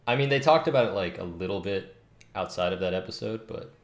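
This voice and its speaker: Very deep, gravelly man thinking aloud. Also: quick pace. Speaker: game developer streaming. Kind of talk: casual think-aloud livestream while coding. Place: small home room, indoors. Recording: roomy, faint noise, keyboard clicks.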